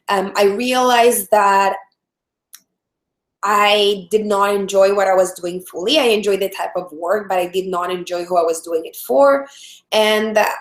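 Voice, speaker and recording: Woman with a Salvadoran Spanish accent. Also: medium pitch. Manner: friendly, many fillers and restarts. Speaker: product strategist, webinar host. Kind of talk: live webinar training. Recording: roomy echo.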